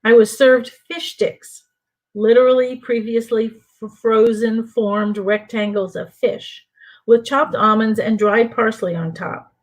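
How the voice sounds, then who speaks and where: An older woman from New Orleans reading aloud from her own book in a measured, deliberate way for an online reading. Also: on the high side. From New Orleans, USA. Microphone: home computer mic for an online reading.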